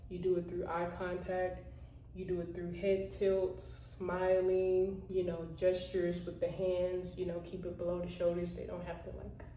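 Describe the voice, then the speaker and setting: Low, flat-voiced woman in roomy echo. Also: unhurried, a little hiss or hum. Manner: conversational, heavy use of "you know" and "like". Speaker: vlogger. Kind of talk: casual motivational talk. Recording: roomy echo, voice a bit far from the mic.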